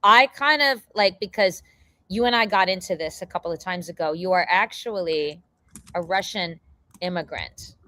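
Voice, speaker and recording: A woman's voice: lowish voice, moderate pace, pushed and bright, dry recording. A woman hosting a casual interview, rambling through her questions with many fillers and repeated likes. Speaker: interviewer host.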